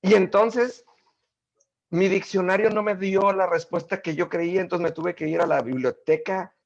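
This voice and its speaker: A middle-aged man, a guest in a casual interview, telling stories in an animated way with many fillers and restarts. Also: sits high, normal speed, creaky, highs cut off.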